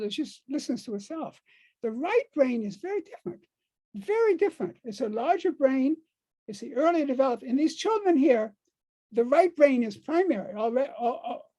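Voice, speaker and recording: An older American man giving an informal talk to a group, speaking haltingly and repeating words and phrases for emphasis. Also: extremely high pitch, dark tone. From United States. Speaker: speaker on dream work.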